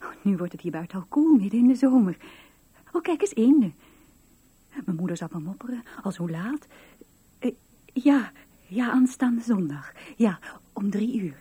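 An actress playing an impulsive eighteen-year-old girl in love in a radio play, breathy and a little hesitant. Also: mid-range voice, animated, moderate pace, long pauses, dark tone. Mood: shy, eager. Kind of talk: radio drama recollection and dialogue.

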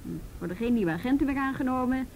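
A woman's voice: high-pitched, animated, fast talker, a little mellow, slight background noise. Matter-of-fact, weary policewoman. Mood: weary, resigned. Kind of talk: naturalistic radio drama acting.

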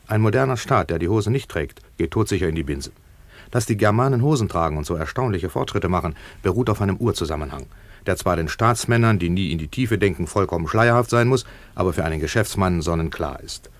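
An actor playing a brash, self-important merchant tycoon in a radio play, talking in a pompous, emphatic business manner. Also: male voice, low-pitched, animated, brisk, no echo. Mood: self-assured. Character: brash, self-important tycoon trouser manufacturer in ancient Rome. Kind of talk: German radio play acting.

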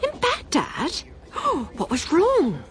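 A woman's voice: very high-pitched, wildly swooping pitch, very slow, slight background noise, exaggerated voice. An actress playing a brash, gossipy, much-married older Englishwoman in a radio drama, gushing and teasing with theatrical gasps and giggles, shameless and merry. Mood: sly, needling.

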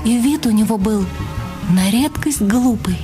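A woman narrates a dramatised novel in a slightly high voice. Her delivery is scripted, literary storytelling for radio. Music plays clearly behind her. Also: animated, unhurried. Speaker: narrator and reader in a radio play. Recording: music under the voice.

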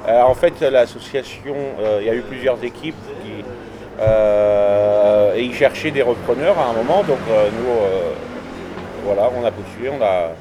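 A man in his forties, a singer who runs a music venue, answering interview questions haltingly with many hesitations and repeated agreement. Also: mid-range voice, background noise. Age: 40s. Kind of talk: interview guest.

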